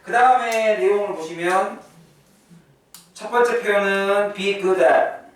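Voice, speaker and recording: A man's voice: high-pitched, slow. A man teaching English grammar in a lecture style, emphatically repeating key terms and asking questions he answers himself. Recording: echoey room, distant mic, faint noise.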